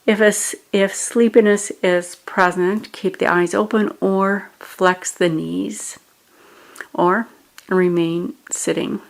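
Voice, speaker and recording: A woman guiding a meditation, giving measured instructions in short phrases with pauses between them. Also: medium pitch, steady tone, gravelly. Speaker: meditation guide. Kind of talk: guided meditation narration.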